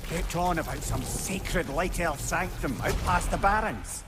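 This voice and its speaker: An actor playing a wordy, wry old sage and loyal companion in a video game. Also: male voice, fairly high pitch, measured pace, music in the background, lightly acted. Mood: informative, genial. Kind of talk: video game character acting.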